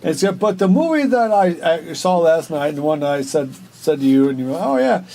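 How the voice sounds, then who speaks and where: A man's voice: fairly high pitch, big pitch swings, a little fast, creaky, mellow, slight background noise. A man co-hosting a movie show in casual, slangy, sweary chat. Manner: profane, slangy. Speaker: co-host of a movie discussion show. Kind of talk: casual chat about films.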